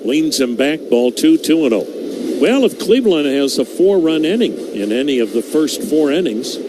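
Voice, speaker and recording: An American man calling baseball play-by-play on the radio in measured, clipped phrases with pauses. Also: on the high side, very animated. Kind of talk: live play-by-play commentary. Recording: noisy live ballpark ambience.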